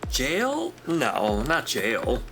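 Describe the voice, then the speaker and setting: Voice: mid-range voice, huge pitch swings, very slow, a bit bright, a little hiss or hum, full character voice. An actor playing a glib, friendly corporate clerk in a sci-fi audio drama, breezy, wry and casual in a naturalistic style. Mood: wry, matter-of-fact. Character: glib, teasing, friendly corporate clerk smoothing things over.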